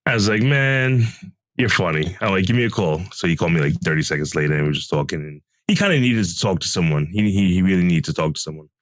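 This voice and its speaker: A man co-hosting a podcast, telling stories in a relaxed, deep, calm voice with lots of fillers, recorded at home. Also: fast talker, no room sound. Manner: relaxed storytelling with many fillers. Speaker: podcast co-host. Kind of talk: casual podcast chat. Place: home closet room.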